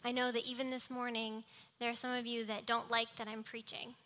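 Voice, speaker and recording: A woman's voice: on the high side, level tone, fairly quick, sharp tone, a little hiss or hum. A young woman preaching a sermon with personal anecdotes, in a small, cartoonish voice. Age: young adult. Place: live talk before a congregation.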